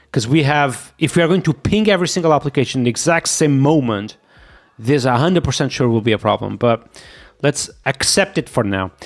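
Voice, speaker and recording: A man with a Portuguese accent, a native Portuguese speaker, streaming live as he codes. He thinks aloud, muttering to himself and repeating quick agreements as he reads, and he sounds sick. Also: medium pitch. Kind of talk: thinking aloud while coding, chatting with viewers.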